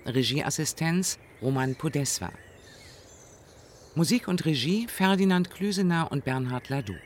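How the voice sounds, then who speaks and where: A man with a slightly high voice reads out scripted radio play credits. Faint outdoor ambience with some birdsong sits behind his announcement. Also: animated, measured pace, a little hiss or hum.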